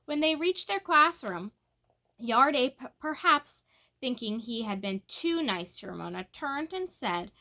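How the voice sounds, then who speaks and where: A woman reading a children's book aloud in an expressive, animated and emphatic way. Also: sits high, measured pace. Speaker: storybook reader.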